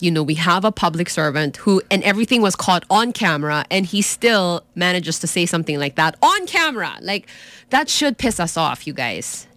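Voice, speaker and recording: A woman's voice: lowish voice, fairly bright tone, dry recording. A woman co-hosting a radio show in casual chat, with an earnest, questioning way of talking. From South Korea.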